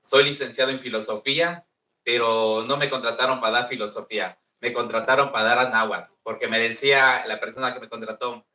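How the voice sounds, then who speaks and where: Mexican man, Nahuatl native, bright earnest panel speaker, heard from across an echoey room. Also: medium pitch, steady tone, brisk. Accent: Mexican Spanish. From Veracruz, Mexico. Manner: earnest, repeats himself, proud. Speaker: Nahuatl teacher and contest winner. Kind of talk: formal speech at a panel. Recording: echoey room, distant mic.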